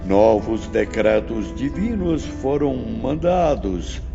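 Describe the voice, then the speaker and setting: An actor playing a stern, learned spirit teacher in a dramatised audiobook, lecturing in a solemn, emphatic, oratorical way. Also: male voice, medium pitch, animated, takes it slowly, creaky. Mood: urgent, exhortative. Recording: muffled, long reverb, music behind.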